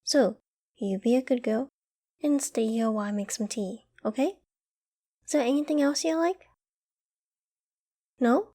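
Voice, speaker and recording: A woman playing a doting, affectionate girlfriend in an intimate ASMR roleplay, tender and cooing with gentle teasing. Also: on the high side, unhurried, pauses often. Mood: playful, doting. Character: doting, affectionate young girlfriend caring for her sick partner.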